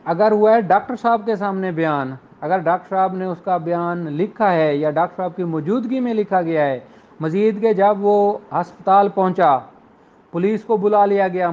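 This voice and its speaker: High-voiced man lecturing, muffled recording. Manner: didactic, reads English phrases slowly and deliberately. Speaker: law lecturer. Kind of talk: explanatory law lecture with examples, code-switching into English.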